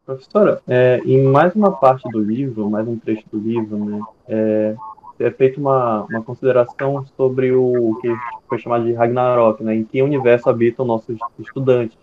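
A man's voice: mid-range voice, normal speed. A man in his thirties asks questions over a video call, his voice tinny and phone-like with a faint hiss behind. He sounds hesitant, restating his questions in new words. He is using an earphone mic at home. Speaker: interviewer. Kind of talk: interview questions. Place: room at home indoors. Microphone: earphone headset mic on video call.

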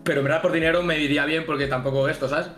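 Young man as cocky suitor, rambling and fast, slightly high voice, music under it. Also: roomy sound, lightly acted. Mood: boastful. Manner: rambling, relaxed boasting. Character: cocky young man posing as an adult film actor. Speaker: suitor on a dating stream. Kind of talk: improvised comic role-play.

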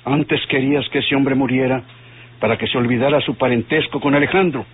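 A man's voice: mid-range voice, fairly quick, husky, lightly acted. An actor playing a nervous older father and police inspector in a radio drama, with a Mexican accent. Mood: troubled, explaining. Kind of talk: vintage radio drama acting. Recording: muffled, hissy old radio recording.

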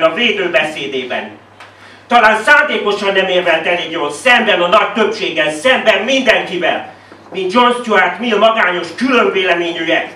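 A man's voice: high voice, sharp tone. A man from Hungary performing slam poetry live in a declamatory, rhetorical style with repeated phrases. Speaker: slam poet. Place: indoor live poetry venue. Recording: roomy echo, distant microphone.

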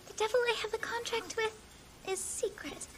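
A woman's voice: very high voice, fairly even intonation, moderate pace, fairly bright tone, a little hiss or hum. A woman voicing a meek, timid twenty-year-old woman in an English anime dub, apologetic in manner. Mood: nervous.

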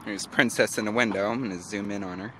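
A man's voice: fairly low pitch, fast talker, noisy background. A man filming a do-it-yourself cabin build and talking casually, with plenty of "uh" and "um" fillers. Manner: relaxed, lots of uh and um fillers. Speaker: vlogger filming a DIY build. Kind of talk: casual home video narration. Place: backyard, then inside a small wooden cabin.

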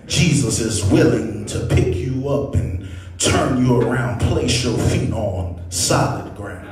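A man preaching a sermon in an impassioned, oratorical way, using rhetorical repetition and addressing his congregation. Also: mid-range voice. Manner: impassioned, oratorical, builds from measured phrases to shouts. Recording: echoey hall, distant microphone.

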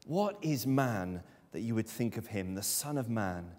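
A man's voice: lowish voice, lively intonation, unhurried, darkish tone. A man in his thirties preaching a sermon at a lectern in a church, earnest and emphatic. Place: church sanctuary, speaking at a lectern. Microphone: far camera. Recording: long church reverb.

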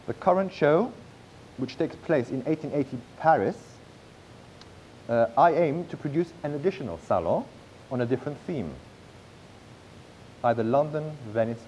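A man in his thirties presenting a business pitch from a script on stage, with occasional slips and restarts. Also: muffled recording, a little hiss or hum. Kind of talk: read-aloud business pitch. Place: large theatre stage.

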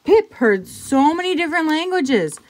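A woman reading a picture book aloud to children, conversationally, pausing for casual asides and check-in questions. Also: high voice, very animated, darkish tone. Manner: conversational, pointing things out and asking check-in questions. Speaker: intervention specialist reading to children.

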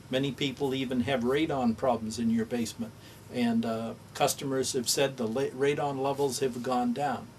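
An older man pitching his own product in a plain, folksy way with frequent "uh"s, demonstrating it indoors. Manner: plain folksy sales talk with filler "uh"s. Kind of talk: promotional demonstration. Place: office, then a basement demo wall indoors. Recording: distant mic with audible fan hum.

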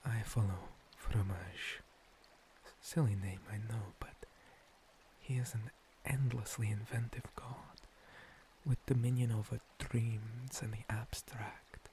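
A man playing a gentle, long-lived monster cleric in a whispered ASMR roleplay, breathy, courteous and a little hesitant, with formal phrasing. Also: on the low side, fairly even intonation, takes it slowly, background noise. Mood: calm, reflective.

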